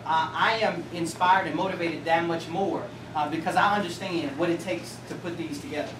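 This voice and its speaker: A man hosting an author event at a cafe, asking his question haltingly with many fillers. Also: fairly high pitch, fairly even intonation. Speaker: event host. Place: coffee cafe in a shopping mall. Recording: roomy, distant, noisy cafe recording.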